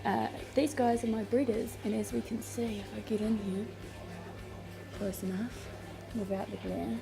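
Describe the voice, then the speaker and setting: A woman's voice: mid-range voice, fairly even intonation, normal speed, creaky, over music. A woman with a New Zealand accent, chatting casually as she films her home fish room, rambling with plenty of ums and restarts. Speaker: fishkeeping vlogger. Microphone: handheld camera.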